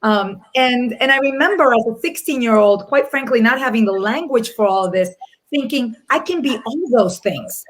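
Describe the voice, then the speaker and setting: A middle-aged woman raised in the Dominican Republic speaking on a panel over a video call, earnest and reflective, often pausing and restarting her sentences. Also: fairly high pitch, low-quality recording. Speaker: panelist, corporate people executive. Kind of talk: panel discussion.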